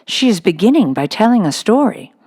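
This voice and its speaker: A woman's voice: mid-range voice, sing-song, a little fast, dark tone, pitched above the performer's own voice. A woman voicing a solemn, imaginative little girl from a storybook in an earnest, matter-of-fact way. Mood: frank, puzzled.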